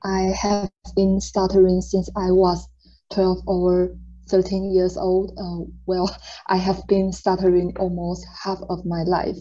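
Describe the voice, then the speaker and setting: Slow, soft-voiced young woman, halting. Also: lowish voice, highs cut off. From Taiwan. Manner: slow, halting, many filler sounds. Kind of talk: personal testimony.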